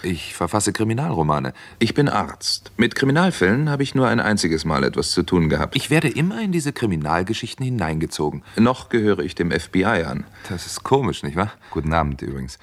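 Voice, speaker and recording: Low-voiced, composed investigator. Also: male voice, very animated, brisk, full character voice. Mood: dry, composed. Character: dry, composed crime-story investigator. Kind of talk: German radio crime drama acting.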